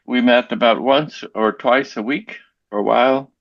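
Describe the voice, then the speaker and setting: A man speaks in a relaxed, rambling way, often restarting his sentences. His voice sits in a middle pitch. The call audio is dull and dry, with no room sound. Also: normal speed, gravelly, no room sound. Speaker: interview guest, language learner. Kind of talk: casual conversation. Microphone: video call.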